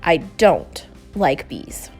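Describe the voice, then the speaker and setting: A woman's voice: low-pitched, big pitch swings, extremely slow, soft background music, voice pushed down, full character voice. A woman voicing a reluctant, doubtful child who is scared of bees in a children's storybook, with dramatic protests. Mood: reluctant, wary. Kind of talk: children's storybook character reading.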